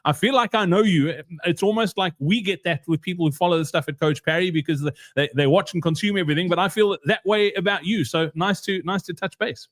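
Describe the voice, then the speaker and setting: High-pitched South African man hosting a podcast. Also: a little fast, few pauses, fairly bright tone. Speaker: podcast host. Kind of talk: casual podcast interview.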